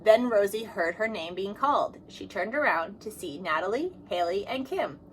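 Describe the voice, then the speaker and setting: A woman's voice: medium pitch, normal speed. Woman reading a children's story, noisy recording. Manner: expressive, acts out dialogue. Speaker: storybook reader. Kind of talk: scripted children's read-aloud narration.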